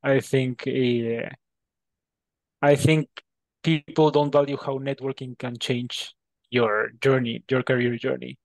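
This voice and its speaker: A man from Peru with a Peruvian Spanish accent, a native Spanish speaker answering interview questions in a halting way, often repeating words. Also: medium pitch, level tone, gravelly, dry recording, low-quality recording. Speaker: interview guest.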